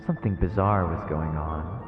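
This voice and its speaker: A man's voice: deep voice, normal speed. A man streaming a horror visual novel, reading it aloud as dramatic, ominous narration. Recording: echoey reverberant muffled sound with music. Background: game music.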